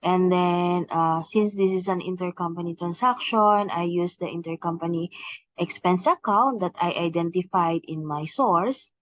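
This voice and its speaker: Female software trainer, lowish voice, hesitant step-by-step walkthrough, dry but slightly dull recording. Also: normal speed, dry recording. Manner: instructional, with frequent hesitations and repeated "okay" and "please take note". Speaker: software trainer presenting a tutorial. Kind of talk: step-by-step software demonstration, semi-scripted explanation.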